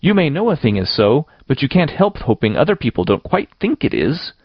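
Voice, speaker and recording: A man's voice: on the high side, sing-song, fairly quick, no echo, muffled recording, pitched above the performer's own voice. A man voicing a passionate, stubborn young girl in a storybook, with a melodramatic, tearful, defiant manner. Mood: tearful, wailing.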